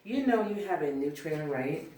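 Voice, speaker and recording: A woman reading the part of a brisk, friendly first assistant director at a film script table read. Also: low-pitched, lively intonation, mellow. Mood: chatty. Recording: roomy, distant mic, faint noise, clicks.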